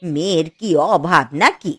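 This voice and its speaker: A woman's voice: lowish voice, unhurried, sharp tone, slightly rough, dry recording, faint music behind, exaggerated voice. A woman voicing an elderly, grumbling, scolding mother-in-law who dotes on her son in a cartoon. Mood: bitter, scolding. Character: elderly mother-in-law, doting on son, bitter about younger daughter-in-law. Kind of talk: scripted cartoon dialogue.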